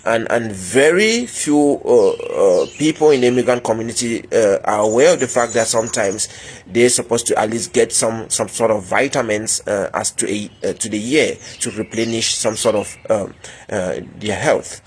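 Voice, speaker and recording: A man's voice: on the low side, normal speed, slightly rough. A middle-aged man, a medical instructor, giving a health talk with many fillers and repeated words. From Cameroon.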